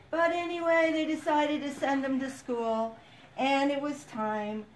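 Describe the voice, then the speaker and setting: A woman narrating a scripted puppet-show story in an expressive storybook manner. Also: high-pitched. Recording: distant microphone, background noise.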